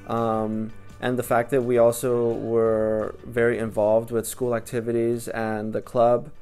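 A young man in his twenties answers documentary interview questions in a calm, measured way. His delivery stays flat and even, with faint music playing behind him. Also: medium pitch. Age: 20s.

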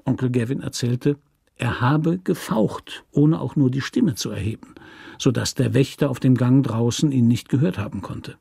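A man's voice: medium pitch, normal speed, vocal fry, dark tone, husky, no room sound, studio quality. A man narrating a literary radio story as a scripted reading.

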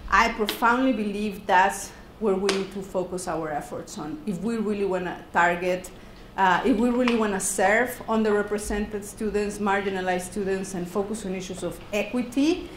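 Woman professor, halting lecture delivery, echoey classroom with slight hiss. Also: medium pitch. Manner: halting, repeats words, laughs easily. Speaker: guest speaker, professor. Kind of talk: academic lecture. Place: university classroom.

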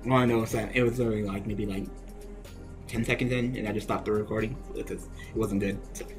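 A man's voice: fairly low pitch, steady tone, fast talker, over music. A young man venting over a card game he just played, swearing and laughing in exasperation as he comments. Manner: ranting, profane, laughing in exasperation.

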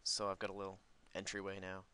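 A man's voice: deep voice, completely flat delivery, fast, pushed and bright, clean tone. A man giving casual, unscripted gameplay commentary, rambling as he thinks aloud. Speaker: gaming video host.

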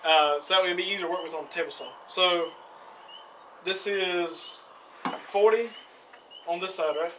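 A man's voice: high-pitched, steady tone, moderate pace, long pauses, pushed and bright, recorded from a distance, a little hiss or hum, low-quality recording. A young man hosting a casual outdoor how-to vlog, chatty and upbeat with frequent "uh" fillers, recorded in a yard and an open carport on a camera mic. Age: young adult. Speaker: outdoor channel host.

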